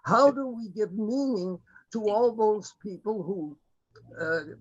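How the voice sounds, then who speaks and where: A man speaking earnestly on a video call, halting and restarting as he makes his case. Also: high voice. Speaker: webinar participant. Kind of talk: impassioned remarks on a video call. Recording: dull lo-fi call audio.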